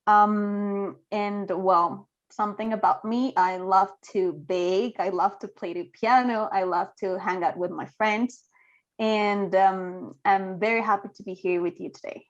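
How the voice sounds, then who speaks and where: A woman's voice: medium pitch, fairly even intonation, a little slow. Colombian-born woman consultant, cheerful panel intro, dull call sound. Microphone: video call. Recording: slightly dull video call audio.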